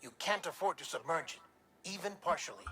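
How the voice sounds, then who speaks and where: An actor playing an earnest male mission handler in a video game, giving measured, formal, instructive briefings. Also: on the high side, expressive, sharp tone. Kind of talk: scripted video game dialogue.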